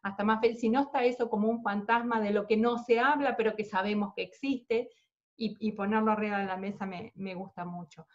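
A woman's voice: mid-range voice, steady tone, fairly quick. A woman hosting an interview, sharing a reflective opinion and then questioning her guest. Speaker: interview host. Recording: dull lo-fi sound.

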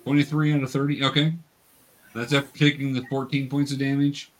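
A man's voice: medium pitch, flat delivery, brisk, poor audio. A man acting as game master, casually and patiently explaining the rules of a tabletop game step by step.

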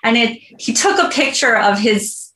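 A woman's voice: a little high, expressive, normal speed, a bit bright. An American woman giving an earnest, heartfelt interview answer, telling stories conversationally with plenty of "like" and "you know". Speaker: interview guest, home loan professional. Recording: roomy echo on the voice.

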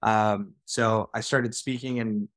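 Quick-talking young man telling his story. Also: lowish voice, fairly even intonation, fairly bright tone, no room sound. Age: 20s. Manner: quick, casual, peppers talk with "like" and "right?". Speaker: podcast guest, real estate director. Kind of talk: conversational storytelling.

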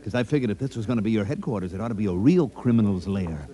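A man acts a chatty village barkeep in 1950s radio comedy. His mellow voice hurries along, folksy and coaxing. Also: fairly low pitch, animated, racing, lightly acted. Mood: eager. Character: chatty, eager village tavern keeper.

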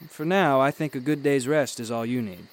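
An actor playing a kindly, unflappable gentleman traveller, polite and eloquent, in a radio drama. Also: mid-range voice, normal speed, close to an everyday voice. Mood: courteous, curious.

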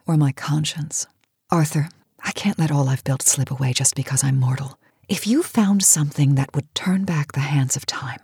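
An aging, driven cosmetics tycoon in a campy radio play. Her voice is very low for a woman, cool and commanding, with clipped, imperious phrasing. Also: moderate pace, a little mellow, slightly rough, no room sound, studio quality, full character voice. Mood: weary, determined. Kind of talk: campy satirical audio drama acting.

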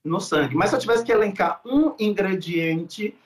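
A man's voice: high voice, animated, moderate pace. Earnest man, reflective podcast guest. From Salvador, Bahia, Brazil. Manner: earnest, reflective, lists things at length with many fillers. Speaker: guest, food researcher. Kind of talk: podcast interview.

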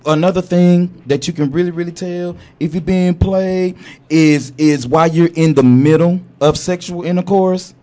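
A man co-hosting a casual group chat, telling stories in a drawn-out way with plenty of fillers. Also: high voice. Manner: animated, drawn-out storytelling with many fillers.

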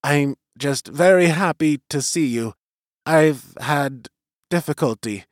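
A man's voice: a little high, takes it slowly, no room sound, clean studio sound. A man voicing a timid, earnest young male creature in a storybook, hesitant and sincere. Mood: relieved, subdued.

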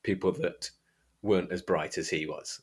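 A man with an East Midlands English accent and a very low voice hosts a book podcast. He talks in an informal, colloquial way, as in a casual chat. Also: fairly even intonation, moderate pace, a little mellow, slightly rough. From Derbyshire, England. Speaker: podcast host.

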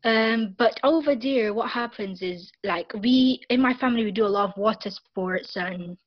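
A woman's voice: fairly high pitch, moderate pace, no room sound, dull audio. A young woman from Barbados with a Barbadian accent, a guest talking casually in an interview, with many fillers and restarts.

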